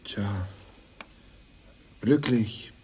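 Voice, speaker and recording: A man's voice: fairly low pitch, background noise. An actor playing a shy, lonely young man in a crime radio play, answering hesitantly and awkwardly. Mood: hesitant, wistful. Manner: hesitant, halting short answers. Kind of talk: 1990s German crime radio play acting.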